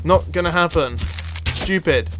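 A man with a slightly high voice gives casual video game commentary at an unhurried pace. He is jokey and self-mocking, given to exclaiming and chatting to the game's characters. Music plays clearly behind him. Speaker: gaming video host.

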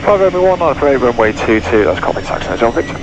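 Male pilot over loud cockpit noise. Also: mid-range voice, sing-song, racing, dull audio. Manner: clipped, procedural, matter-of-fact. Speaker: pilot and flight vlogger. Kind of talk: cockpit commentary and radio readbacks. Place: small private jet cockpit. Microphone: aviation headset. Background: loud aircraft engine and cabin noise.

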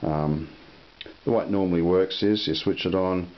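A man giving a casual, unscripted product demonstration in a halting, unhurried way. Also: sits low. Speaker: product reviewer. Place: indoor room at a table. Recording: muffled lo-fi audio with hiss.

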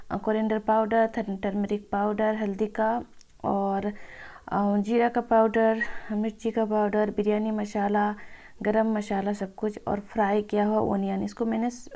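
Female home cook for informal recipe voice-over; gravelly fry, fairly even pitch, mellow tone. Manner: addresses viewers as friends. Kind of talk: informal cooking tutorial voice-over.

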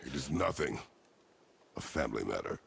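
A man playing a stern, battle-hardened warrior god and father in a video game, speaking tersely in a gravelly voice. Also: very animated, full character voice. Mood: stoic, guarded. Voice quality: very deep, gravelly. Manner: terse, measured, commanding. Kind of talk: cinematic video game acting.

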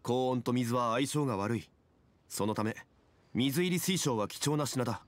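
Man playing a composed, dignified scholarly gentleman. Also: mid-range voice, lively intonation, no echo, close to an everyday voice. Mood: explaining, dignified. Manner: measured, formal, authoritative. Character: composed, erudite gentleman consultant, calm and dignified. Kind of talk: anime game voice acting. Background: game music.

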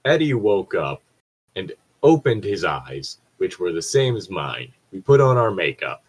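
A man with a low voice reads aloud informally as part of an online group. He breaks off for joking, sardonic remarks and swears freely. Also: big pitch swings, unhurried, breathy edge, low-quality recording. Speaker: co-host reader. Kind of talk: casual group read-aloud of fan fiction with joking commentary. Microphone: own mic on an online voice chat.

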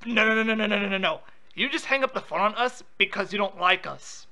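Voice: medium pitch, fairly quick, pushed and bright. A prank caller on a phone call, confrontational and insistent with questions.

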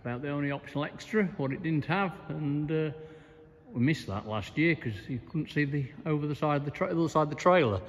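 A middle-aged man farmer giving a casual video diary, talking in a plain, unhurried way with many fillers, filmed on a phone held at arm's length. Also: a little high, big-room sound. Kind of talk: casual farm vlog. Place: farm sheds and yard, indoors and outdoors.

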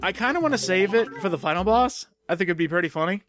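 A let's play host talking through a platform game in a loose, chatty way, voice gone a bit hoarse from a cold. The pitch sits in the middle range, and faint game music runs underneath. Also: animated, fast talker, fairly bright tone, dry recording. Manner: chatty, exclaims at game events. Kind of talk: casual gameplay commentary.

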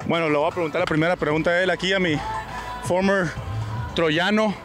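A man's voice: high-pitched, steady tone, a little fast, music in the background. A man casually interviewing his teammates on a ballpark field with a handheld mic, laughing and joking. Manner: playful, laughs often, mixes in English phrases. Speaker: player and interviewer.

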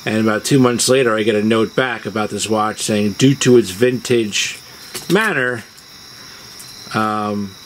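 A man's voice: medium pitch, poor audio. A man hosting a video, telling personal stories in a casual way. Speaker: video host. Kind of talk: casual storytelling. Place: outdoors on a house porch at dusk. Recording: outdoor noise, chirping insects. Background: crickets and insects.

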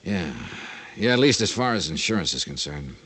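An actor playing a wry, probing American insurance investigator in a 1950s detective radio drama, sardonic and clipped. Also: male voice, low voice, big pitch swings, normal speed, fairly bright tone, husky, heavily acted. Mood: probing, businesslike. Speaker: lead actor and narrator. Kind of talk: 1950s detective radio acting, first-person narration. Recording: old-time radio recording with music.

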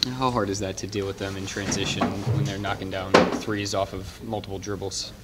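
Low, flat-voiced male reporter. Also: brisk, heavy background noise. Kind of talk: asking post-game questions.

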